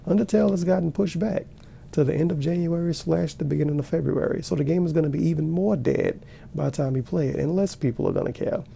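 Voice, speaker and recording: A man's voice: fairly high pitch, creaky, warm tone, breathy edge. A man giving sarcastic, profane reaction commentary while watching a video. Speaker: commentary streamer.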